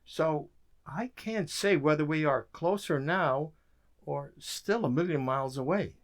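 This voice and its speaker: An actor playing a weary, dry-witted government codebreaker in an emphatic radio drama style. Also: male voice, on the high side, a little slow. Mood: weary, frustrated.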